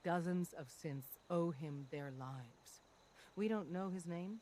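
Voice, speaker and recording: Stern, commanding resistance leader, noisy ambience. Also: female voice, on the low side, takes it slowly, darkish tone. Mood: grave, urgent. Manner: grave, commanding. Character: stern, secretive woman leading an underground resistance group. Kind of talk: video game voice acting.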